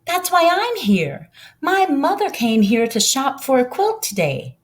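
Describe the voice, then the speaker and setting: A woman's voice: high-pitched, wildly swooping pitch, a little slow, pitched above the performer's own voice, heavily acted. A woman voicing a curious, polite young boy with an eager, questioning manner in a children's storybook.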